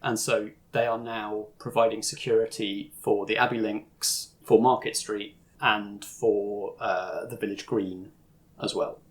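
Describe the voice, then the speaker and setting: Guy with a lowish voice game mastering, explaining the setting casually. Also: fairly even intonation, a little slow. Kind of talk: narrating a tabletop roleplaying game.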